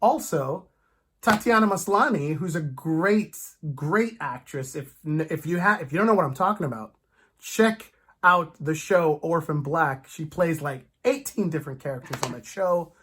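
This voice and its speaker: Animated, rambling host in casual chat. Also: medium pitch, normal speed, a little hiss or hum. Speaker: podcast host. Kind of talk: casual comic book fan chat.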